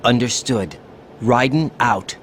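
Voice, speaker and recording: An actor playing an earnest, questioning young special agent in a video game cutscene, with a doubtful manner. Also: male voice, mid-range voice, slow, noisy background, close to an everyday voice. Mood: troubled.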